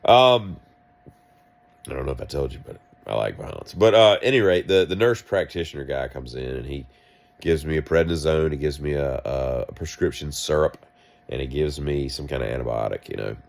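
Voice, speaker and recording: A man with a deep voice, sick and coughing, telling a rambling, wry story in a vlog. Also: animated, a little fast, gravelly. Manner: wry, self-mocking, meandering asides. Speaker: vlogger.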